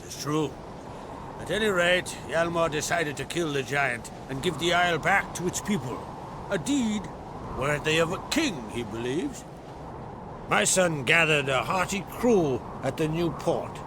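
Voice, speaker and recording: An actor playing a proud, fatherly island jarl in a video game, speaking in a deliberate, solemn way with rustic phrasing. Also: a little high, lively intonation, background noise. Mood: weighty, concerned. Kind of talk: dramatic video game dialogue. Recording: game scene with loud music underneath.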